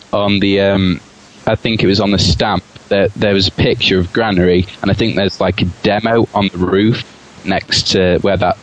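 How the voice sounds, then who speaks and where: A man's voice: low voice, poor audio. A man on a gaming podcast panel, explaining things casually and a little tentatively.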